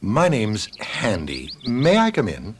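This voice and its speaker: A mysterious official caller in an old radio drama with faint noise. He has a lowish, expressive voice and is polite, evasive and quietly insistent. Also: takes it slowly, full character voice. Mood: polite, tentative. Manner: courteous, hesitant, quietly insistent. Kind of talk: American radio drama acting.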